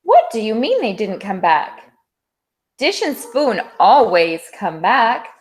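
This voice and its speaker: Storybook cow, read by a woman: drowsy, reluctant, slow-moving delivery. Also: on the high side, very animated. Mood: puzzled. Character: sleepy, reluctant cow who wants rest, storybook.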